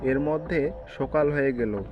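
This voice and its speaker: A man's voice: medium pitch, measured pace, lo-fi sound. A man narrating a scripted cartoon story, with music playing behind his voice.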